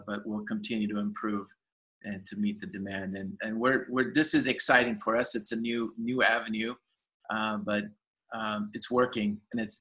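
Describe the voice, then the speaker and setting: A man in his fifties speaks from a home room over a laptop webcam. His voice sits low and fairly flat, with a bright, forward edge. He rambles eagerly, full of fillers and restarts. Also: poor audio. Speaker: farmers market general manager. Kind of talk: casual video-call interview. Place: home room with shelves, indoors. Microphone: laptop webcam on video call.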